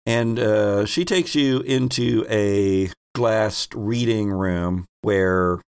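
Male game master, lowish pitch, improvised informal scene narration, very little room sound. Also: extremely slow, a little mellow, clean tone, dry recording, studio quality. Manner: informal, self-correcting.

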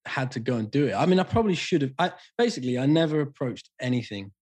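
A man's voice: medium pitch, lively intonation, fast talker, darkish tone, breathy edge. A man who sings in a band, a podcast guest talking candidly in casual chat and swearing freely.

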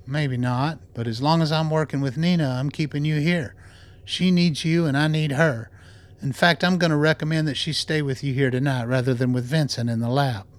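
Man playing composed, higher-voiced male doctor. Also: steady tone, close to an everyday voice. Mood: sober, reassuring. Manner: measured, clinical, matter-of-fact. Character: composed, principled male doctor running a secret lab. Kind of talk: radio drama acting.

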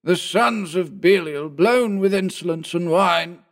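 A man's voice: mid-range voice, takes it slowly, mellow, voice pushed up, close to an everyday voice. A man voicing an old male barroom derelict with a mild, cultivated voice, in an audiobook reading. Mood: roaring.

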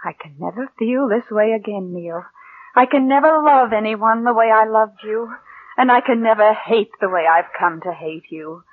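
An American actress playing a cold, calculating murderess who passes as meek, in controlled, deliberate mid-century radio suspense style. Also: female voice, a little high, no room sound, close to an everyday voice. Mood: coldly gloating. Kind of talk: 1940s-50s American radio suspense acting and narration. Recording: muffled vintage radio recording.

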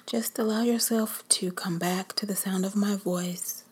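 A woman whispering softly as she leads a guided meditation. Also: medium pitch, steady tone, breathy edge. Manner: whispered, slow and soothing.

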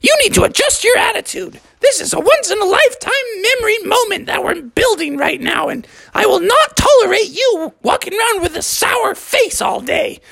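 A storyteller voicing a fussy mother frog in a dramatic, high falsetto for a children's tale. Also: expressive, normal speed, vocal fry, hardly any pauses, a bit bright, dry recording, heavily acted, low-quality recording. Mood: scolding, bossy. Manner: shrill, dramatic. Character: fussy, bossy mother frog, easily queasy.

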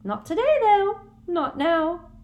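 A woman reading an audiobook, voicing a cruel, simpering middle-aged witch official in a high, breathy, sugary-menacing voice. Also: very animated, slow, darkish tone, exaggerated voice. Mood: gloating.

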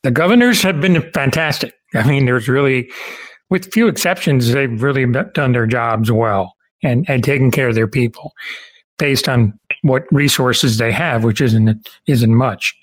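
A man's voice: mid-range voice, a little slow, rough recording. A man hosting an interview in a casual, agreeable and complimentary conversational style. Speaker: podcast interviewer, host.

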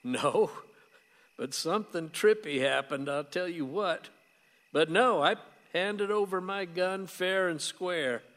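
Folksy older dad, pretty high voice, radio play, echoey hall. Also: male voice, takes it slowly, a bit bright. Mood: frank, folksy. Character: plain-spoken folksy older father, won over and conciliatory. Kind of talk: stage-like radio play acting. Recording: reverberant hall-like room.

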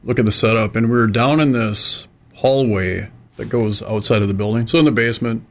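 A man's voice: on the low side, steady tone, fairly quick. A man speaking as an investigator guest, telling anecdotes in a casual, conversational style.

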